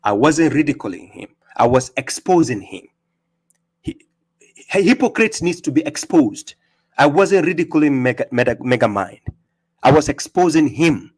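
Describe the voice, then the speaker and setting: A man's voice: a little high, sing-song, normal speed, darkish tone, breathy edge. A man hosting a religious livestream, arguing in a mocking, taunting way and stumbling over repeated words. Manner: mocking, taunting, laughs at opponents. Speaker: livestream host. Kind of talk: combative religious livestream rebuttal. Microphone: streaming setup.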